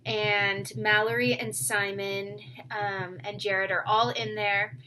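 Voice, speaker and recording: A woman's voice: mid-range voice, flat delivery, takes it slowly, bright, forceful tone, poor audio. A woman narrating a children's book aloud, with casual asides to her listeners. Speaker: storyteller reading a book aloud.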